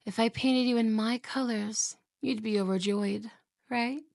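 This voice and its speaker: A jealous, possessive young wife, played by a woman in an ASMR roleplay, purring her words very slowly with a gravelly, creaky edge. She slips into hushed whispers and sounds sweet, yet quietly threatening, close to a dry, dead microphone. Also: on the high side, fairly even intonation, no echo. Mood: sweetly possessive. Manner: soft, slow, whispery, with giggles.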